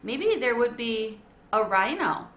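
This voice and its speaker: A woman's voice: fairly high pitch, very animated, takes it slowly, fairly bright tone, a little hiss or hum, exaggerated voice. A woman voicing an earnest young schoolboy. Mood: thoughtful. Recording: echoey room.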